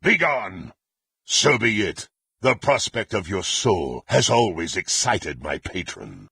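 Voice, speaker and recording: Fantasy game villain warrior: low, heavily gravelled and husky, brutal and imperious, swinging widely in pitch. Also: male voice, big pitch swings, slow, pushed and bright, no echo, clean studio sound, heavily acted. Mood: menacing. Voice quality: gravelly, raspy. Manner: threatening, imperious, archaic formal diction. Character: brutal, menacing half-orc warrior serving a demonic patron. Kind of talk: fantasy video game character acting.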